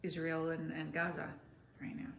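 A woman in her sixties with a raspy voice, chatting casually to the camera at home in a rambling, matter-of-fact way while she snacks. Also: deep voice, monotone, normal speed, fairly bright tone. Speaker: vlogger. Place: living room at home. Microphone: camera close up on a table. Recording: roomy home room with faint hiss.

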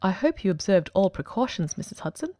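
A woman performs a self-assured detective genius in an audiobook. He talks fast and precisely in a mellow tone, formal and commanding. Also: medium pitch, very animated, no echo, close to an everyday voice. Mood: brisk, appreciative. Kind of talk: dramatic audiobook reading.

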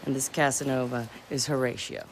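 An actress playing a cool, confident young hacker in a video game, wry and self-assured. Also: female voice, very deep voice, steady tone, a little fast, background noise. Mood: wry welcome. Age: young adult.

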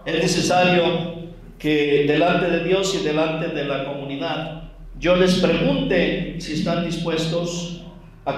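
Priest, male, Mexican Spanish accent, unhurried homily, slightly high voice, far off in a booming church. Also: heavy reverb. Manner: slow and deliberate, gently scolding asides. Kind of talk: wedding homily.

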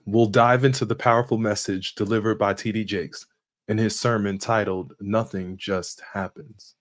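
A man in his forties hosting a channel, reading a scripted sermon review from a home office with small slips and restarts. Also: lowish voice. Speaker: channel host.

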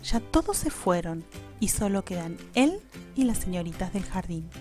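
A woman with an Argentine accent tells a children's story. Her delivery is scripted and expressive, with lively swings in pitch. Faint music plays behind her. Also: moderate pace.

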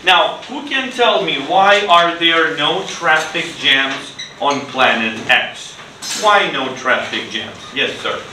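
Male classroom teacher, middle-aged, fairly high pitch, simple instructive delivery, recorded from far off with echo. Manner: deliberate, simple phrasing with repeated prompts and praise. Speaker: English teacher. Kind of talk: interactive classroom teaching to children. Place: classroom, indoors. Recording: echoey, noisy classroom from far off.

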